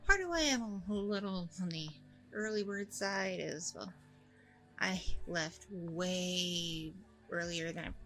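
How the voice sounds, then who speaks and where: A woman's voice: fairly low pitch, bright, forceful tone, rough recording. A woman playing a friendly, nervous single mom on a blind date in an ASMR roleplay, rambling casually in a bubbly way. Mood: nervous, chatty. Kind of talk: ASMR audio roleplay, conversational.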